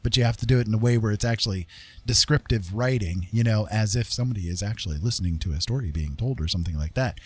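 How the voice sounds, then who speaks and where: A man's voice: lowish voice, no room sound. A middle-aged man chatting casually and self-deprecatingly as a livestream host, in a rambling, conversational way. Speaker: livestreamer hosting an art tutorial and chat. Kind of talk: casual chat to a live chat room.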